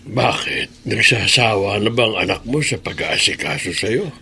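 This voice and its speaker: A man playing a frail, gentle elderly Filipino father and grandfather in a radio drama. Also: fairly low pitch, lively intonation, moderate pace, vocal fry, a little hiss or hum, exaggerated voice. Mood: gently teasing.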